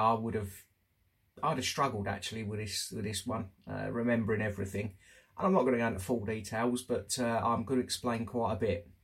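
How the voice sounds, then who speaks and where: Husky-voiced man giving a candid update. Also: medium pitch. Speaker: host of a personal health show. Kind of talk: casual spoken diary update.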